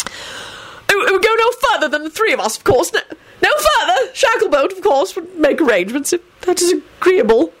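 A woman voicing a flustered, self-important male government minister who stammers and squeaks in an audiobook story. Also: sing-song, normal speed, a bit bright, full character voice. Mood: frantic.

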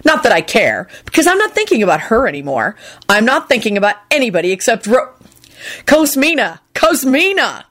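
An excitable young man in love, acted out by a woman reading a story. He is insecure yet stubborn and quick-tempered, blurting his words with emphasis and very lively swings of pitch. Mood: resolute.

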